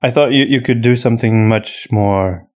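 A man talking casually and unscripted as a podcast host, often halting and repeating himself as he searches for words. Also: medium pitch. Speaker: podcast host and story writer answering listener questions.

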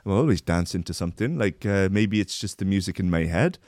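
A happy-go-lucky young Welsh raver who can't stop dancing, as a man puts him on. His Welsh accent slips all over the place, and he rambles with halting, goofy pride. The voice sits a touch lower than most men's and sounds dry and close. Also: expressive, a little mellow, dry recording. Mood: boastful. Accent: attempted Welsh, inconsistent. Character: cheerful young Welsh male party-loving dancer. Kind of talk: comedic impersonation.

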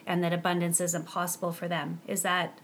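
Woman host asking clear questions. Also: fairly low pitch, completely flat delivery, a little fast, a little hiss or hum. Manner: warm welcoming, leads with questions.

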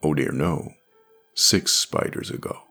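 A world-weary male boss, as a man narrating puts him on talks in a low voice. He is mild and absent-minded, rambling matter-of-factly through his explanations. It is an audio drama with music behind it. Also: fairly even intonation, slow pace, creaky, pushed and bright, breathy edge, exaggerated voice.

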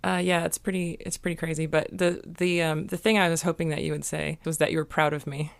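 A young woman with a hoarse, gravelly voice, pitched a little low. She chats on a podcast in a halting way, with lots of ums and a dry, self-mocking wit. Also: fairly even intonation. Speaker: podcast co-host. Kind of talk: casual podcast chat.

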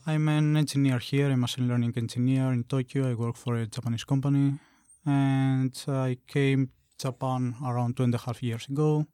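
A man from Greece with a warm, smooth voice introduces himself carefully as a podcast guest. The recording is close and dry. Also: medium pitch, flat delivery, moderate pace, few pauses, no echo.

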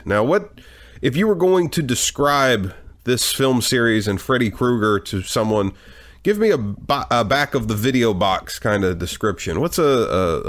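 Congested man hosting a movie podcast. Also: mid-range voice. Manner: wry, joking introductions. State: congested from a cold. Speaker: podcast host. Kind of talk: casual chat.

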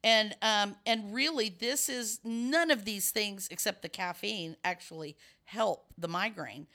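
A middle-aged woman with a bright, forward tone, though her voice sounds scratchy today. She explains things in a loose, rambling way, circling back and restarting her sentences as she hosts a relaxed conversation. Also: medium pitch, measured pace. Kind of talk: casual chat.

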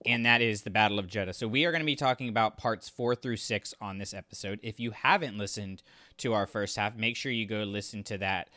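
A man's voice: lowish voice, fairly quick, bright, forceful tone, no room sound. A man co-hosting a podcast, talking through a story in a casual, conversational and explanatory way. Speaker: podcast co-host. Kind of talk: casual book discussion and recap.

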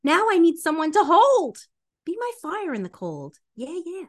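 Melodramatic woman, high swooping voice. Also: huge pitch swings, moderate pace. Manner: melodramatic, exaggerated delivery. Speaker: podcast co-host. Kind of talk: playful, theatrical dramatic reading of song lyrics.

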